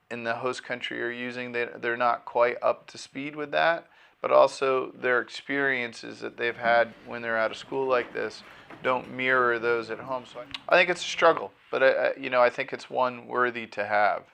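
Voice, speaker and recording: Bright, gravelly-voiced man. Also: medium pitch, fairly even intonation, moderate pace. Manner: thoughtful, with restarts and self-corrections. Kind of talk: documentary interview.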